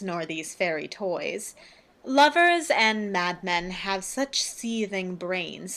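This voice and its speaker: A woman playing a stately, genial and skeptical duke, with measured declamation in a Shakespearean radio play. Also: mid-range voice, fairly bright tone, close to an everyday voice.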